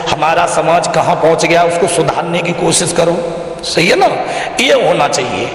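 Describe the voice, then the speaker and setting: Guy with up talking like a village preacher, earnest n repetitive, super echoey room, slightly high voice. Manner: earnest, exhorting, repeats phrases in lists. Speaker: religious storyteller and devotional singer being interviewed. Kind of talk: interview answers in colloquial, preacherly talk.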